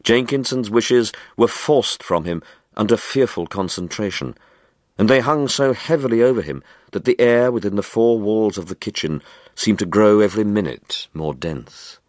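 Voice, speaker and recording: A man reading a short story as dramatic scripted narration, in a measured, deliberate manner. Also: lowish voice, a little mellow, no echo, studio quality. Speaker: story reader.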